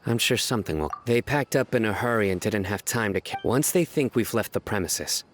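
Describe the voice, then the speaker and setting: Video game role: stern young male general, acted by a man, deep and measured, over clear background noise. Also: expressive, natural voice. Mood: composed, reassuring. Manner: measured, serious, formal. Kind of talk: scripted video game character dialogue. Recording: clearly noisy game capture.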